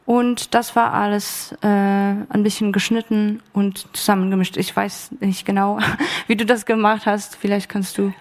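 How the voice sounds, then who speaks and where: A woman speaking unscripted as an author on a panel, very haltingly, searching for words. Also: mid-range voice, flat delivery. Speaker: radio play author on a panel. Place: stage of an arts academy event.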